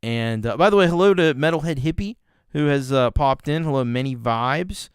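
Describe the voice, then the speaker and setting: A man hosting a casual livestream, reacting to music and rambling warmly with many fillers and restarts. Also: medium pitch, normal speed, clear, resonant tone, no echo, studio quality. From Canada. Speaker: music reaction show host.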